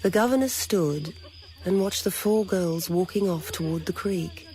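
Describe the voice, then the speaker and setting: A woman narrating a dramatic scripted story in a deliberate, literary manner. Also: on the low side, darkish tone. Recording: noisy old radio recording.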